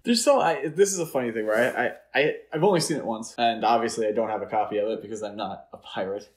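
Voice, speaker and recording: A man's voice: lowish voice, fast talker. A man co-hosting a podcast, chatting about films in an easygoing, jokey and conversational style. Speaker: podcast co-host. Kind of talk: casual chat, film discussion.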